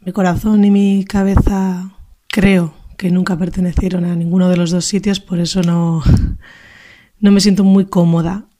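A woman's voice: lowish voice, level tone, moderate pace, mellow, a little hiss or hum. A woman in her early thirties with a northern Spanish accent, sharing an informal personal reflection in a hesitant, thoughtful way. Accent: northern Spain. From Basque Country, Spain. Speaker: contributor to a feminist radio show.

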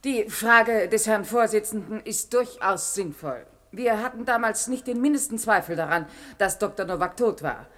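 An actress playing a measured, sober witness in a radio play, speaking with composed deliberation. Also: female voice, mid-range voice, animated, natural voice. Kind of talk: German radio play acting.

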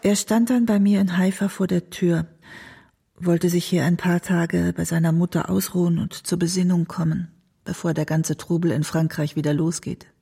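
An elderly, tender mother in a radio play, recalling her son with quiet worry. Her voice is gravelly and mellow, and her pitch stays very even. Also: moderate pace. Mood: subdued, worried. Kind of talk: radio play documentary monologue.

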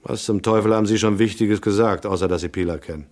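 A tough, hard-boiled detective played by a male actor from a noir radio play. His voice sits on the low side. He narrates and talks in a terse, unimpressed, matter-of-fact way. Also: fast, darkish tone, lightly acted. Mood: terse, dry. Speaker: narrator and lead character.

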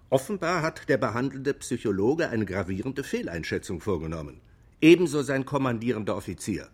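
An earnest courtroom lawyer in a radio drama. His pitch moves widely as he makes his points, formal and articulate. He sounds insistent and probing. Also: slightly rough. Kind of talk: German radio drama acting, courtroom argument.

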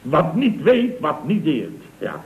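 A man's voice: fairly high pitch, huge pitch swings, takes it slowly, heavily acted. A man playing a scheming, self-pitying boss of a building firm in a radio comedy drama, theatrical and wheedling. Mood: pompous. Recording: dull old radio recording with hiss.

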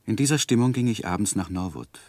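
Earnest lovesick gentleman telling his life, low voice, very dry sound, old sixties radio play, measured and literary. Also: lively intonation, dry recording. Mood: wistful. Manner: measured, introspective literary delivery. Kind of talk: 1960s German radio drama, literary first-person narration.

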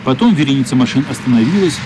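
A man narrating a literary radio play in a measured, somber, dramatic style. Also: mid-range voice, lively intonation, background noise. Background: faint music.

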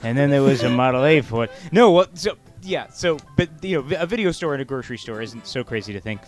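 A man in casual conversation, halting and stammering as he interrupts himself, with an excitable manner. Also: mid-range voice, soft background music. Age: 20s. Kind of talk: casual chat.

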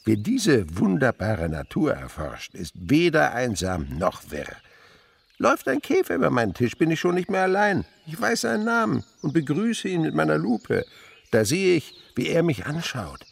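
An actor playing a kindly old insect researcher in a radio play, philosophical and rapturous, moving between murmurs and exclamations. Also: male voice, on the high side, wildly swooping pitch, normal speed, creaky, slightly rough, exaggerated voice. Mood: wry, animated. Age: older. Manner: theatrical swings from murmur to exclamation. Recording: radio drama with insect noise behind.

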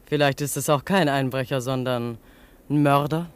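A woman's voice: rumbling low, unhurried, clean tone. Coy, teasing young dockside lady. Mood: coquettish. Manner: teasing, coquettish. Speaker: actor. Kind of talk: German radio play acting.

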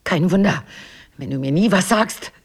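Troubled wife, widely swinging intonation, jealous, remorseful, pained, emotional, radio play. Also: female voice, on the low side, animated, moderate pace, vocal fry, slightly rough, full character voice. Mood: jealous, hurt. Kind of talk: German radio drama acting.

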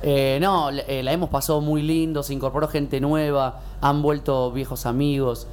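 A man's voice: medium pitch, level tone, normal speed, darkish tone, a little hiss or hum. A man with a Rioplatense Spanish accent co-hosting a casual radio chat, chatty and joking. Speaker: radio show co-host.

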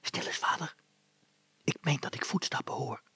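A man's voice: normal speed, bright, forceful tone, no room sound, natural voice. A man voicing a simple, nervous young son in a storybook, whispering breathily. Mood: alert, whispering.

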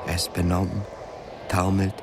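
A man's voice: deep voice, completely flat delivery, moderate pace, background noise. A man reading literary narration in a radio play. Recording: studio radio play with music underneath. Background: clearly audible music.

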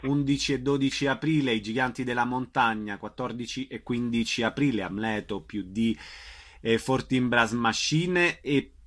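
Mid-pitched male interviewer, conversational. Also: normal speed, fairly bright tone. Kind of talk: conversational radio-style interview.

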